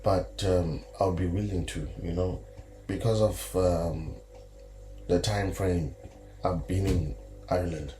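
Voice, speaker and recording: A man's voice: low-pitched, steady tone, slow, mellow, soft background music, low-quality recording. A man from Zimbabwe with a Zimbabwean accent, a musician guest answering easygoing, often restarting his sentences. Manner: easygoing, self-correcting, proud. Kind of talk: casual interview answers.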